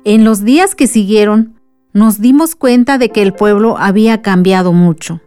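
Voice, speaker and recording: An actress with a Mexican accent playing a thoughtful village aunt, narrating earnestly in a radio drama. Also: female voice, medium pitch, normal speed, dark tone, smooth, lightly acted. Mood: earnest, reflective. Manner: earnest, storytelling. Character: thoughtful village aunt recounting the town's past. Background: music.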